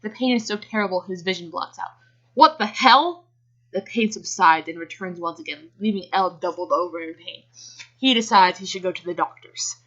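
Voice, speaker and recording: A woman's voice: lowish voice, moderate pace, fairly bright tone. A woman giving an amateur dramatic reading of fan fiction, giggly and given to casual asides. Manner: giggly, often breaks off to react. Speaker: reader. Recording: dull lo-fi home recording.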